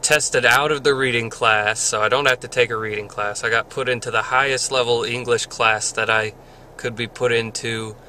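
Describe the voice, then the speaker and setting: A man's voice: mid-range voice, fairly even intonation, moderate pace, pushed and bright, slight background noise. A man in his twenties talking casually to a handheld camera from his parked car, rambling and correcting himself with small chuckles. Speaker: vlogger. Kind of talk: casual video diary. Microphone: handheld camera at arm's length.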